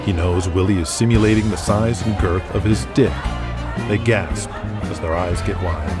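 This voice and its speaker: Low-voiced man narrating an audio drama. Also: moderate pace. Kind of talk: scripted narration, present tense. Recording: music bed under the voice. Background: music.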